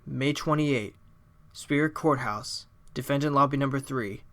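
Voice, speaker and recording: A man's voice: medium pitch, moderate pace, background noise, lightly acted. A man playing a compassionate, reflective hero in an audio drama, speaking earnestly. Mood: downcast.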